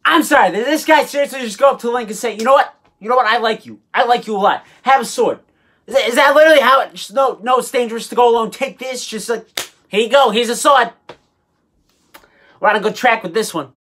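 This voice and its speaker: A woman hosting a comedy show with casual, sarcastic reaction commentary, exasperated and halting as she repeats herself. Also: fairly high pitch, a bit bright. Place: small indoor room full of collectibles.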